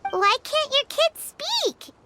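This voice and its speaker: A woman voicing a tiny, excitable, childlike fairy companion in a video game, with a squeaky voice and exclamatory delivery. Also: takes it slowly, heavy background noise, full character voice. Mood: curious. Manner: speaks of self in third person, exclamatory. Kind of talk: video game character acting.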